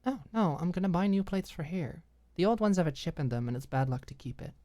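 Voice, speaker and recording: A woman's voice: fairly low pitch, animated, quick pace, mellow, no echo, voice pushed up, lightly acted. A woman voicing an irritated, defensive young woman fed up with her girlfriend in an audiobook drama, indignant and emphatic. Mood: brisk, dismissive.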